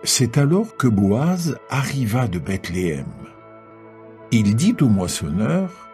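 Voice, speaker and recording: A man's voice: mid-range voice, big pitch swings, slow pace, mellow, soft background music. A man narrating a dramatised scripture reading as a scripted storyteller.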